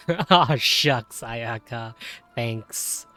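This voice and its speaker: A woman's voice: rumbling low, drawn-out words, bright, forceful tone. A woman giving casual, playful live commentary on a game playthrough in slangy, reactive speech. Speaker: gaming content creator. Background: game music.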